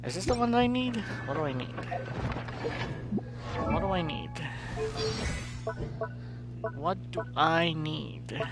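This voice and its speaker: A man's voice: on the high side, expressive, slow pace, pauses often, over music. A man doing casual live gameplay commentary as a streamer, muttering to himself and repeating his phrases. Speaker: game streamer.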